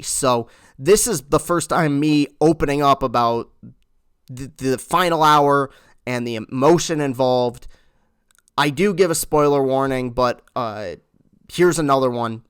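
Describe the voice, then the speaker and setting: A man reviewing a movie in casual, earnest talk, halting and repeating words as he goes. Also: medium pitch, fairly bright tone, clear, resonant tone, no room sound, studio quality. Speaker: film reviewer.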